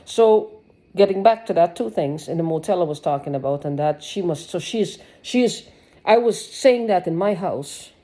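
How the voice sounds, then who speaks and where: A woman's voice: lowish voice, expressive, moderate pace, dark tone. A woman giving a rambling personal video monologue, agitated and sarcastic, swearing and restarting her sentences. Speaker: vlogger.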